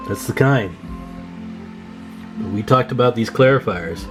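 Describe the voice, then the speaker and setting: A man's voice: medium pitch, measured pace, over music. A man hosting a radio repair video, explaining hands-on work in a casual, folksy way and chatting informally to viewers.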